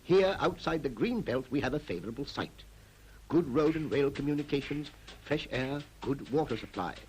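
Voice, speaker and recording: An actor playing a confident, persuasive town planner in a 1940s animated public information film, speaking in an emphatic, explanatory way. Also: male voice, medium pitch, moderate pace, a little hiss or hum, lightly acted. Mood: brisk, explanatory. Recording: old 1940s film soundtrack, music behind.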